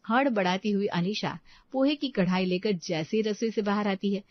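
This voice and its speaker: A woman's voice: medium pitch, moderate pace, dry recording. A woman narrates a domestic story from a script in a mid-range voice. The recording is very dry and slightly muffled.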